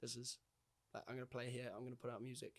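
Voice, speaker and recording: A man's voice: medium pitch, little pitch movement, quick pace. A man with a New Zealand accent talking casually and informally, with plenty of slang, as a guest in a conversation. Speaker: podcast guest, hip-hop artist.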